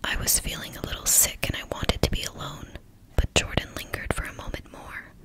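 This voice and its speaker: A woman reading a novel aloud in a steady, hushed whisper. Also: fairly quick. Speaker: narrator.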